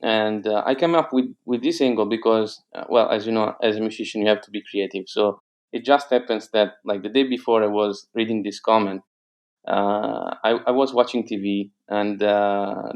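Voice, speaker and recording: A man's voice: lowish voice, darkish tone. A man whose first language is Italian, speaking with an Italian accent in a casual interview, hesitant with many fillers and restarts. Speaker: guest entrepreneur.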